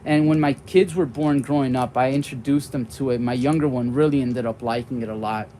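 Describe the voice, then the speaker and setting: Streamer type: man in his forties, youthful-sounding voice, friendly and rambling, mid-pitched with faint ambient hum behind. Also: fairly even intonation, a little fast. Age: 40s. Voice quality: young-sounding voice. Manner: rambling, goes off on tangents; warm and friendly toward viewers. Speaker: board game streamer. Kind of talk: casual live-stream chat.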